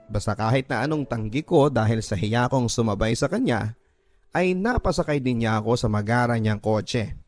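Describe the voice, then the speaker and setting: A man's voice: medium pitch, expressive, moderate pace, darkish tone. A man narrating a love story in the first person as a dramatized radio drama. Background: background music.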